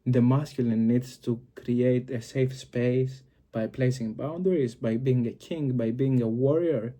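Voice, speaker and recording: Greek-accented man with a very dark voice. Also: mid-range voice, fairly even intonation, unhurried. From Greece. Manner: searching for words, frequent fillers and restarts. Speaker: video host. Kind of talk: reflective solo talk to camera.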